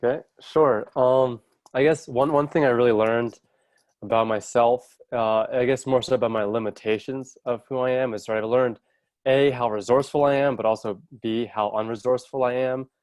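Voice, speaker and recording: A man's voice: mid-range voice, fairly even intonation, moderate pace, darkish tone, no room sound. A young man in his twenties, a recent college graduate volunteer, answering thoughtfully and hedging with "I guess" and "sort of". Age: 20s. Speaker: service corps volunteer. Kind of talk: reflective answers.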